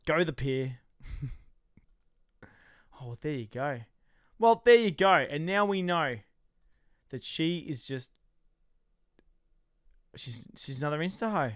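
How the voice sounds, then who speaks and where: Australian male co-host, rowdy joker, pitched a bit high, crisp and dry. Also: slow pace, long pauses, no room sound. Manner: loud, jokey, excitable, trash-talking. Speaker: podcast co-host. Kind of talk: casual reality-TV recap chat.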